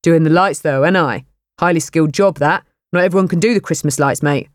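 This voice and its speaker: A woman's voice: low-pitched, brisk, no echo, natural voice. A big, kind but dim young man who talks with cocky, self-important slang, as a woman reader puts him on. He sounds a little distant and dry in the recording.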